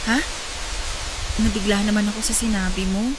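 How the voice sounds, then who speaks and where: A woman acting a shy but practical, caring young Filipina fiancée in a radio drama, matter-of-fact and soft-hearted. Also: mid-range voice, level tone, moderate pace, background noise, natural voice. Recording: loud music behind the voice.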